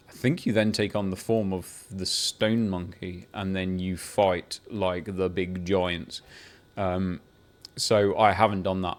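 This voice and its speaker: Rambling low-voiced man talking games. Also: smooth. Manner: rambling, with frequent restarts and swearing, building to excited outbursts. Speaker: gaming video creator. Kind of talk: casual unscripted game talk to camera.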